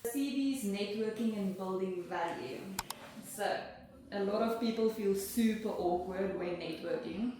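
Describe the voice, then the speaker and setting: A woman interviewer reading out prepared questions in a brisk, upbeat way. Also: mid-range voice, mellow. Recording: echoey room, distant mic, audible noise.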